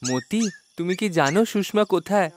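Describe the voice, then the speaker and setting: Man voicing anxious village husband, cartoon, very high male pitch, pleading, earnest, noisy background. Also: expressive, a little fast. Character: remorseful, anxious village husband seeking his kidnapped wife. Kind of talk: cartoon character acting.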